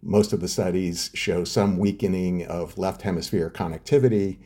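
A man with a very low, mellow voice explains science in an interview. His tone is husky and dark, and he stops often to search for words with repeated fillers. He sounds thoughtful and unhurried. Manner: hesitant, many filler uhs and restarts. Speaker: neuroscience researcher guest.

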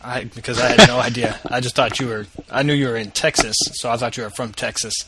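A man's voice: mid-range voice, fairly even intonation, quick pace, crowd noise. A man hosting a podcast, reading a casual news roundup and interviewing a guest in an upbeat way. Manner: casual and upbeat, with easy laughs. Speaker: podcast host.

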